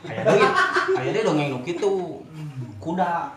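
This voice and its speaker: A man's voice: a little high, expressive, unhurried, crowd noise. A man with a Sundanese accent telling comic stories in casual chat, full of acted exclamations and repetitions, recorded in an echoey room. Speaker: joke teller. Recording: echoey room with music behind.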